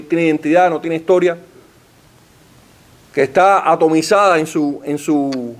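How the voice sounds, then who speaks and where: Man lecturing with rhetorical flair. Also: a little high. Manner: rhetorical, uses questions and lists. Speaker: panelist giving a talk. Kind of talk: formal lecture. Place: library meeting room.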